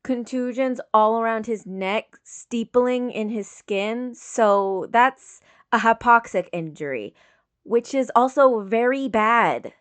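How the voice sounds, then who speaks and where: A woman's voice: on the high side, takes it slowly, smooth, voice pushed up. A woman reading the part of a composed, clinical young female medic in a story, direct and matter-of-fact. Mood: clinical, concerned. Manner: matter-of-fact, clipped questioning.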